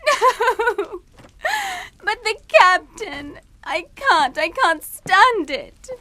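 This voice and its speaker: A woman's voice: extremely high pitch, big pitch swings, very slow, bright, forceful tone, dry recording, heavily acted. An actress playing a hysterical, horrified young daughter, crying as she speaks in a suspense radio drama. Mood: hysterical sobbing.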